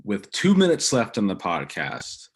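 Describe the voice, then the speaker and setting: A man's voice: on the low side, wildly swooping pitch, unhurried, breathy edge. A young man co-hosting a casual sports podcast, upbeat and eager when telling a story. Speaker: podcast co-host.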